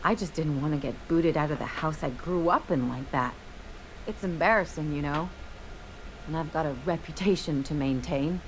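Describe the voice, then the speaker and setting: An actress playing a brash, bitter young adventurer in a video game, with a sardonic, theatrical way of telling stories. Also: female voice, low-pitched, moderate pace, a little hiss or hum, lightly acted, low-quality recording. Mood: wry, dramatic. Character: brash young adventurer woman, bitter, dramatic, estranged from parents.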